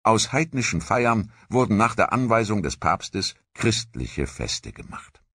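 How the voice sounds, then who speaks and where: Deep, husky documentary narrator. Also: male voice, big pitch swings, a bit bright, dry recording, clean studio sound. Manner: measured, grave storytelling.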